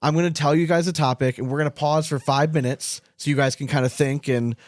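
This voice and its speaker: A man's voice: on the high side, steady tone, fast talker, dry recording, studio quality. A man hosting a podcast with casual, bantering talk. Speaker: podcast host.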